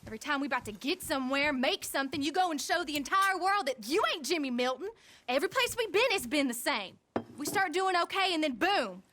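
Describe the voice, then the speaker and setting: Plain-spoken American frontier wife, highish voice. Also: female voice, lively intonation, fast talker, sharp tone, a little hiss or hum. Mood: fed up. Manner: frank rural speech. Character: hardened, plain-spoken frontier wife and mother, fed up yet hopeful. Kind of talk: naturalistic video game drama acting.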